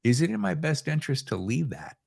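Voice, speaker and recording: A man's voice: fairly high pitch, sing-song, a little fast, vocal fry, darkish tone, breathy edge. A man hosting a casual chat, emphatic and given to acting out imagined reactions. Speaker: podcast host.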